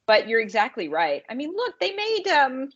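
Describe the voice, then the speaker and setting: A woman's voice: high-pitched, wildly swooping pitch, normal speed, slightly rough. A woman co-hosting a podcast, chatting casually about films in an emphatic way, repeating words for stress. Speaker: podcast co-host. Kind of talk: casual movie review chat. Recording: dull lo-fi audio.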